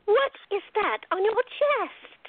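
An actress playing a fussy, doting older mother in a radio play, gushing and exclaiming with drawn-out words. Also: very high-pitched, very animated, dry recording, narrow, tinny audio, heavily acted. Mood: gushing, delighted.

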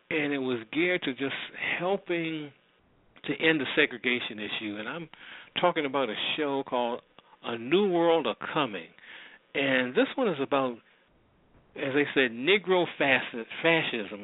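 An American man hosting a radio show, introducing old programs in a casual, rambling, conversational way. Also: medium pitch. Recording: roomy, phone-like narrow sound.

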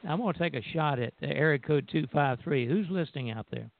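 An older man co-hosting a radio show, chatting casually in a halting way and repeating himself. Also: fairly high pitch, lively intonation, creaky, no room sound. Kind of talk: casual chat. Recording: dry, narrow phone line.

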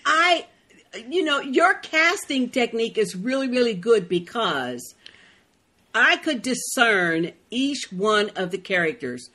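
A woman co-hosts a casual chat with a bright, punchy voice. Her pitch moves around in an animated way. She is effusive and emphatic, often repeating herself to underline a point. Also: slow. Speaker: podcast co-host.

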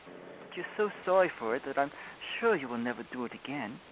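A man reading a storybook, voicing a kind, earnest little girl in a gentle, reassuring way. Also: mid-range voice, animated, fairly quick, close to an everyday voice. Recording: tinny phone-like audio with loud noise.

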